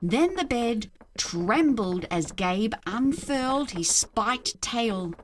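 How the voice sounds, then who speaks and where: A woman reading a children's storybook aloud in an expressive narrating style. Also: on the high side, takes it slowly, slight background noise. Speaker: storybook reader.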